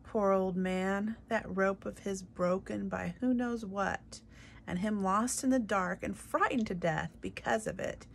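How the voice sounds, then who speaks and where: A woman reading a storybook aloud, voicing a fierce castle cook who is loud and distraught with grief. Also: medium pitch, unhurried, vocal fry, a little hiss or hum.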